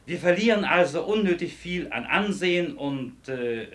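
An actor playing a tactful, cautious company official in a radio play, speaking in a measured, deferential and formal way. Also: male voice, a little high, lively intonation, fairly bright tone. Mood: cautious, reasoning. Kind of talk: German radio play acting.

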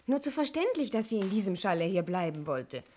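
Suspicious, husky-voiced niece. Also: female voice, medium pitch, sing-song, fairly quick, warm tone. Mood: grave, firm. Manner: sceptical, pointed, questioning. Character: suspicious, insistent adopted niece of a missing aunt. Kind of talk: 1960s German radio play acting.

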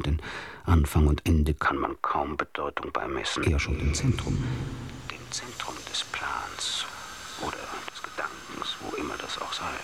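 A man acting a brooding figure in a literary radio drama monologue, obsessively deliberating in halting mutters that often sink into breathy whispers. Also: a bass voice, no echo, full character voice.

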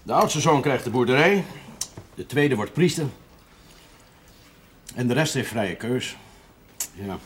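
An actor playing a worldly, good-humoured priest in a television drama, talking in an expansive, amiable way. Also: male voice, medium pitch, expressive, slow, pauses often. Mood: matter-of-fact. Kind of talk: television period drama acting. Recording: slightly noisy old television soundtrack.

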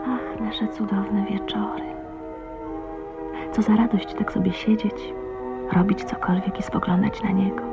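An actress playing a shy, tender, anxious young wife in a radio drama, speaking in a breathy, hesitant, intimate way. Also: female voice, mid-range voice, fairly even intonation, unhurried, pauses often, over loud music, lightly acted. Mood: tender, content. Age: young adult. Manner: breathy, hesitant, emotive, often half-whispered. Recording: dull, muffled old radio recording.

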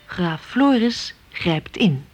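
A woman's voice: fairly low pitch, sing-song, extremely slow, slight background noise. A woman reading scripted radio drama narration and credits as announcer. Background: music.

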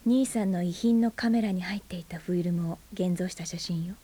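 Husky, breathy, guarded aunt. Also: female voice, on the low side, fairly quick, mellow. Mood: subdued, bitter. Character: blunt, moody aunt, guarded adult woman with buried grief. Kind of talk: naturalistic Japanese radio drama acting. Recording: 1990s FM radio drama on cassette.

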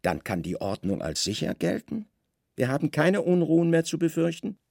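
A man's voice: fairly high pitch, wildly swooping pitch, moderate pace, vocal fry, darkish tone, no echo, clean studio sound, voice pushed up, heavily acted. An actor playing a weary, imperious Roman governor in a radio drama, irritable, brooding and probing in his questions.

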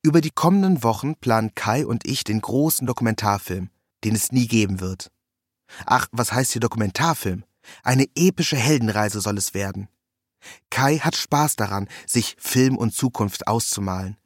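A man's voice: on the low side, lively intonation, moderate pace, dry recording, clean studio sound. A man reading a scripted first-person story in a wry, ironic manner. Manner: wry, ironic delivery with acted quotes. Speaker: narrator.

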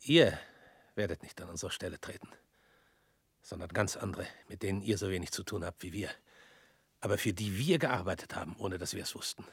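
An actor in a radio drama playing a stern male revolutionary who speaks in measured, pointed lines and often drops to a hushed whisper. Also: low-pitched, slightly rough, dry recording, full character voice. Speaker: revolutionary visitor.

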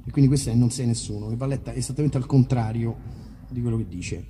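Rambling musician's casual stage banter. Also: male voice, medium pitch, steady tone, fast, loud music behind the voice. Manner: rambling, self-deprecating, colloquial with swearing. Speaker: musician performing live. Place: live outdoor festival concert.

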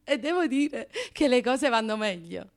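A woman's voice: fairly high pitch, animated, unhurried. An actress playing a flamboyant, passionate, self-mocking Neapolitan woman in a radio monologue, with a confiding, emphatic and colloquial manner and a Neapolitan accent. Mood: wry, exasperated. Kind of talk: radio drama comic monologue.

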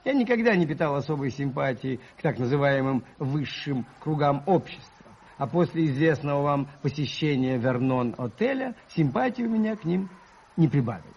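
A gentle priest recounts an old story in a vintage radio play. His voice is rather high for a man and very animated, with a slightly husky grain. The manner is modest and hesitant, and the recording is a touch muffled. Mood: modest, wry. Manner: modest, unhurried, hesitant, old-fashioned courtesy. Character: Father Brown, gentle modest priest telling an old tale. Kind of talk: 1980s Soviet radio drama acting, storytelling.

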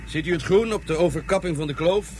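A man's voice: on the high side, fast, a little hiss or hum, natural voice. An actor playing a businesslike male guide who explains matters in a plain, informative way. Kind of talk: radio play acting.

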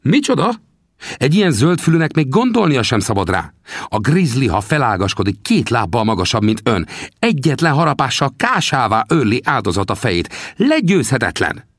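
A man's voice: mid-range voice, sing-song, no room sound, clean studio sound, voice pushed up. A man voicing a wily, chuckling little old male frontier trapper who talks in a teasing, folksy, boastful way. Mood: warning. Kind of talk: audiobook character voice.

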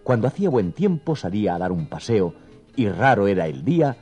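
A man narrating a scripted children's story in an expressive, theatrical storytelling manner. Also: on the low side, fairly quick, husky, soft background music.

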